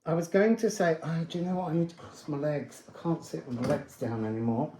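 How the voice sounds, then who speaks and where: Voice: medium pitch, brisk, background noise. A card reader talking casually through a spiritual reading in a musing, digressive way with emphatic word stress, in a voice of unclear gender. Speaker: tarot and oracle card reader. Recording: roomy, echoing sound.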